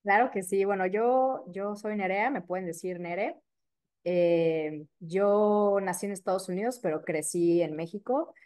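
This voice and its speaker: A woman in her thirties from Mexico City with a Mexico City accent, a periodontist guest chatting casually and filling pauses with "este" and "eh". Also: mid-range voice. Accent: Mexico City Spanish. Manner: warm, chatty, many fillers like "este" and "eh". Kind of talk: casual interview chat.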